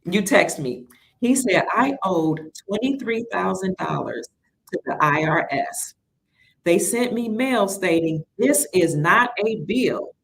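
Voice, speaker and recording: A talkative woman from Cleveland hosts her livestream in a slightly low voice. She greets viewers warmly by name and gets excited as she reads their messages. A faint low rumble hums beneath her. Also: slow pace, creaky, rough recording. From Cleveland, Ohio. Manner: chatty, excitable, addresses viewers as sister. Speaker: livestream host. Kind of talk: casual livestream talk, reading viewer comments.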